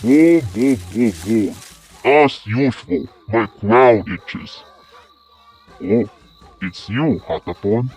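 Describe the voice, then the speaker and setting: A man voicing a big, friendly, lumbering creature in a cartoon comic dub, with drawn-out, ponderous speech. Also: mid-range voice, darkish tone, breathy edge, faint music behind, rough recording. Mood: sluggish, mild. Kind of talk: animated comic dub acting.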